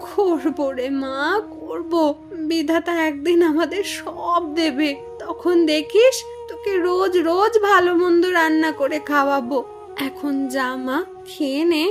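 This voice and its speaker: A woman's voice: extremely high pitch, normal speed, soft background music. A woman playing a poor village mother in a cartoon fairy tale, weary and tender, scolding out of worry in a plaintive way. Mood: consoling. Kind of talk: animated fairy tale dialogue.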